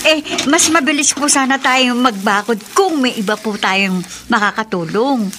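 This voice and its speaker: A woman's voice: fairly high pitch, normal speed, natural voice. A woman playing a timid, deferential housemaid in a radio drama, hesitant and respectful. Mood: obedient, reluctant. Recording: noisy background ambience.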